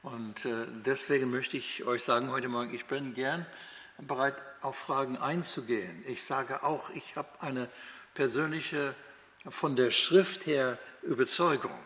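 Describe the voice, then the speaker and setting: A man's voice: mid-range voice, unhurried, a bit bright. An older man from the United States with an American accent, a native English speaker teaching the Bible in German, hesitant with many fillers and restarts. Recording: long hall reverb.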